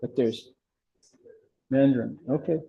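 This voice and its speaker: A man's voice: medium pitch, unhurried, gravelly, lo-fi sound. An older man leading a meditation class in casual, chatty discussion, often restarting his sentences and affirming students.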